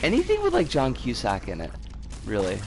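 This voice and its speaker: A man with a scratchy, hoarse voice from an oncoming cold, chatting casually and opinionatedly with some swearing as he streams a game. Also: mid-range voice, huge pitch swings, brisk, darkish tone. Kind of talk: casual live game commentary and chat.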